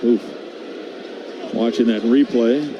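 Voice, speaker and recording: A man's voice: mid-range voice, fairly even intonation, takes it slowly, muffled recording. An American man calling live basketball play-by-play on radio in short, clipped phrases, describing the action as it happens. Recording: very noisy arena background. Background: arena crowd and game ambience.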